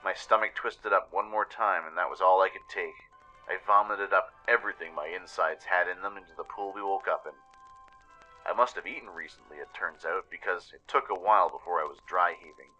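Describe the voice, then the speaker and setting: A man narrating a scripted first-person story dramatically, with deliberate emphasis. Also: sits low, pushed and bright, dull audio, soft background music.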